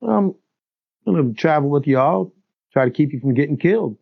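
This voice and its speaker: Gravelly, husky man with lively pitch. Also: moderate pace, dry recording. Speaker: player. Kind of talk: in-character tabletop roleplay. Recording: dull lo-fi mic.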